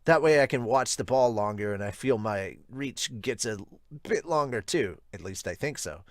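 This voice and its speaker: A man voicing an earnest, soft-spoken teenage baseball player in an amateur manga dub. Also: mid-range voice, lively intonation, normal speed, no echo, clean studio sound, voice pushed down, natural voice. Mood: calm, thoughtful.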